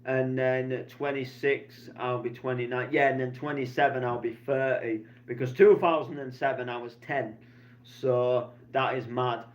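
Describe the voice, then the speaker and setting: A man's voice: medium pitch, steady tone, moderate pace. A man, rambling casually through a weekend vlog with plenty of fillers and restarts. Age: 20s. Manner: chatty, meandering, many fillers and restarts. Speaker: DJ vlogger. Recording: slightly dull lo-fi sound.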